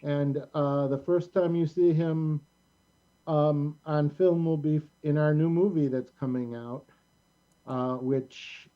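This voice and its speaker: A middle-aged American man hosting a casual show in a rambling, halting way. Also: on the high side, highs cut off. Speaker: host of a community show.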